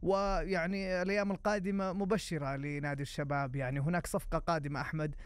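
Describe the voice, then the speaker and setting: A Saudi man co-hosting live football talk and news, often pausing to search for his words. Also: fairly high pitch, flat delivery, clear, resonant tone, no room sound. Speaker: sports radio co-host.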